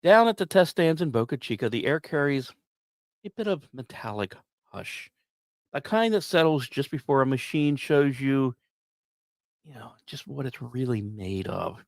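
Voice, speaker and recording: A man narrates like a podcast storyteller, his mid-pitched voice swinging widely up and down. He leans on key words and leaves dramatic pauses, mixing scripted lines with casual asides. The sound is close and very dry, with no room around it. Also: lively intonation, no echo. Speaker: podcast host.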